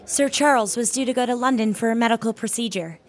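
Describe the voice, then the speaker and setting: Actress as a guarded, higher-voiced, dignified woman. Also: fast talker, natural voice. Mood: earnest. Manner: composed, formal, reserved. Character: guarded, curt, dignified divorced working woman. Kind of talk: audio drama acting.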